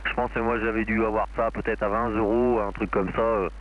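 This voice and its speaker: A man's voice: lowish voice, little pitch movement, fairly quick. Male radio hobbyist heard through a muffled, faintly noisy transmission, hesitant everyday chat with roundabout explanations. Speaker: amateur radio operator. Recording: dull, noisy radio reception.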